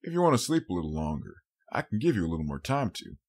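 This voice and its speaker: A man voicing a gentle, protective male pony, fatherly and caring, in an audiobook. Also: deep voice, very animated, quick pace, husky, exaggerated voice. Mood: friendly, caring. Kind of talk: audiobook character voice.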